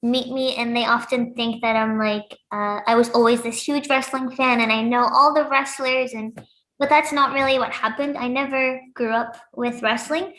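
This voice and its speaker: A woman's voice: fairly high pitch, fairly bright tone, roomy sound. A young woman from Lebanon, a pro wrestler, chatting casually as an interview guest and laughing often. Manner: giggly, upbeat, laughs often.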